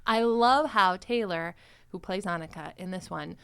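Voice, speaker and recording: A woman's voice: fairly low pitch, creaky, fairly bright tone, clear, resonant tone. Playful, chatty woman hosting a podcast. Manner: playful, quick to laugh, acts out quotes. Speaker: podcast host and series creator. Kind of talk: casual chatty podcast discussion.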